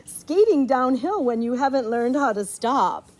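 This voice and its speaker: A middle-aged woman with a notably high voice reads a storybook aloud to a child. She is playful and theatrical, leaving dramatic pauses before important words. Between passages she drops into casual, chatty asides. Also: animated, a little mellow. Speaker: librarian reading a picture book aloud to a child.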